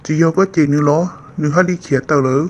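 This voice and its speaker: A man's voice: a little high, fast talker, darkish tone, close to an everyday voice. A man voicing a male character in a family drama cartoon, in dramatic dialogue. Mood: conversational. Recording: dull lo-fi, faint noise.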